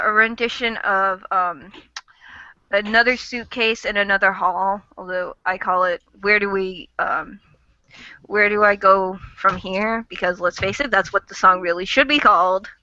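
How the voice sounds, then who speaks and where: A woman's voice: medium pitch, a little slow, pushed and bright, dry recording. A woman hosting a casual fan chat, rambling with lots of fillers. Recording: lo-fi audio.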